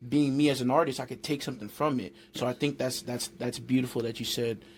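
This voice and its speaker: Male co-host, flat monotone delivery, supportive, faint background hiss. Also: medium pitch, fast talker. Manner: affirming, lots of backchannel agreement. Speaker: podcast co-host. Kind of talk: casual podcast interview.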